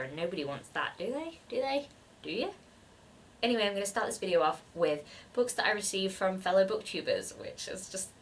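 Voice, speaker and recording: A woman's voice: fairly low pitch, a bit bright, recorded from a distance, a little hiss or hum. A woman with a cold-stuffed nasal voice, chatting casually in a book vlog, rambling and self-deprecating with plenty of fillers. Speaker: book vlogger.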